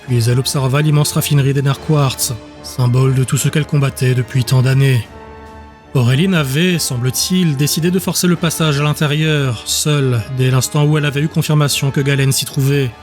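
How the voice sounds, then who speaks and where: A man narrating a dramatic audiobook in a measured, literary storytelling manner. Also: on the high side, darkish tone.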